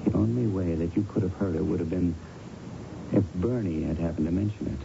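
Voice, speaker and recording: Deep-voiced American police detective, patient, coaxing and deliberate, 1970s radio drama, muffled noisy recording. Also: male voice, gravelly. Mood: businesslike. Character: patient, probing male police lieutenant.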